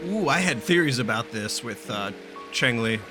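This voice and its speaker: A male gaming streamer with a mid-pitched, very animated voice. He jokes at his own expense in loose, playful commentary, with game music playing behind him. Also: normal speed. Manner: joking, self-deprecating. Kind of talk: playful let's-play commentary.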